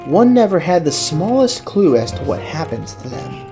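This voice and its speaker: A man's voice: a little high, very animated, normal speed. Man narrating a novel over music. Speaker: audiobook narrator. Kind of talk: scripted dramatized novel narration. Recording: clear music under the narration.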